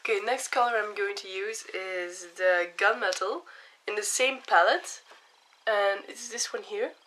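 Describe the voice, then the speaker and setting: A young woman talks through a makeup tutorial in a chatty way, her voice hoarse from a cold. Her tone is bright and forward, and she takes her time, speaking slowly and explaining each step plainly. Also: medium pitch, slight background noise.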